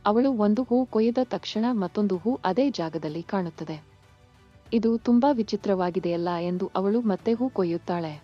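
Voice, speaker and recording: A woman's voice: mid-range voice, normal speed, hardly any pauses. A woman reading scripted narration for a children's moral story. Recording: muffled lo-fi sound. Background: faint music.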